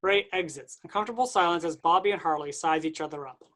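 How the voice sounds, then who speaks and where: A reader rattles off the stage directions at a brisk clip. The voice sits at a middle pitch and stays matter-of-fact throughout. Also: a bit bright.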